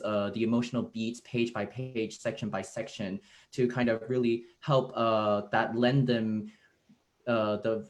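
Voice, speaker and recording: A man speaking as a stage director in a casual post-show discussion, thoughtful and halting as he searches for words. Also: mid-range voice, a little mellow.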